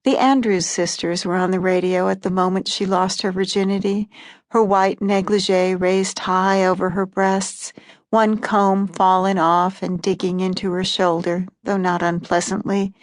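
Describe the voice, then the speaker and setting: A woman reading literary short fiction as an audiobook narrator in a calm, even storytelling manner. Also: mid-range voice, a little slow, no room sound.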